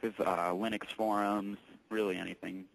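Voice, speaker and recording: A man working as a tech support employee on a call, explaining things patiently in a halting, informal way. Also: on the low side, level tone, creaky, dry recording, rough recording. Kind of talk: casual explanatory phone conversation.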